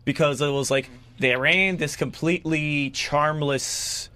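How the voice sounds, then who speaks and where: A man's voice: fairly high pitch, fairly even intonation, a little slow, bright, forceful tone, no room sound. A young man co-hosting a casual political chat, talking in a rambling, hesitant, ironic way. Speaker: podcast co-host.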